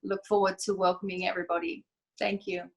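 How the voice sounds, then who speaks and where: A woman answering interview questions as a business founder, measured and reflective, sometimes restarting a phrase. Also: fairly low pitch, level tone. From Australia. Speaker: guest, event company founder.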